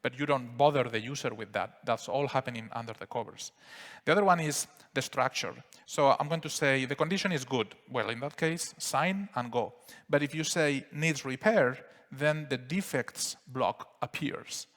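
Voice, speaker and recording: A man with a Spanish accent giving a relaxed live software demo at a conference, explaining steps with frequent fillers. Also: medium pitch, normal speed. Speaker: software presenter. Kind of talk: live product demo talk. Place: conference hall. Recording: reverberant conference hall.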